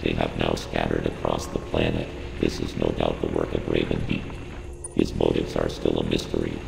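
Game ship computer: abyssally low robotic voice, clinical and measured, in echoing reverb over clear background noise. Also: male voice, full character voice. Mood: cold, measured. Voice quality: synthetic, robotic, gravelly. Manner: flat, measured, clinical delivery. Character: male ship computer AI, cold analytical military advisor. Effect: robotic voice processing. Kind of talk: video game mission briefing. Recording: echoey hall reverb, humming ambient noise.

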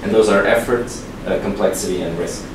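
Man, very low voice, explaining steadily, far from mic in an echoey room. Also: fairly quick, background noise. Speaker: presenter. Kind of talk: tech meetup talk. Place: large meeting room with projector.

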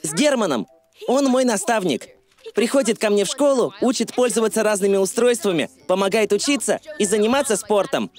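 A woman's voice: mid-range voice, expressive, fairly quick, a little mellow, soft background music, lightly acted. A woman voicing a clever, cautious, confident boy detective in a cartoon dub. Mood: proud.

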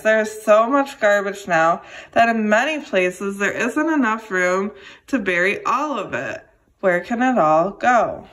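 A young adult woman reading a children's educational book aloud, addressing young listeners in a deliberate way. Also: medium pitch, rough recording. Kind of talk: read-aloud children's lesson.

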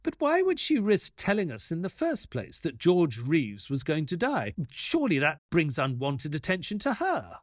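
An earnest male sidekick to a detective, telling the story in an audio drama. His voice is fairly high for a man and very animated, with a close, dry sound. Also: normal speed, few pauses, no echo. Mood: puzzled. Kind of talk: audio play acting and narration.

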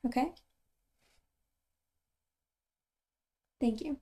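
Woman playing a very soft, mellow caring girlfriend. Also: sits high. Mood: tender reassurance. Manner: soft-spoken, often breathy and near whisper, halting and tender. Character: caring, gentle, reassuring girlfriend. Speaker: ASMR roleplay performer. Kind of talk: ASMR girlfriend comfort roleplay.

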